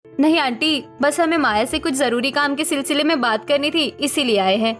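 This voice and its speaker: Supportive, assertive young daughter-in-law female actor, high-pitched, animated family drama, music bed. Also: normal speed. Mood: polite. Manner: earnest, firm.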